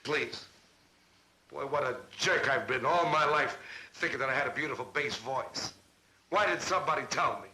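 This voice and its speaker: An actor playing a middle-aged, self-pitying man with a gravelly voice, speaking plaintively in a 1960s sitcom. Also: mid-range voice, moderate pace, bright, forceful tone, a little hiss or hum, poor audio. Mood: mournful.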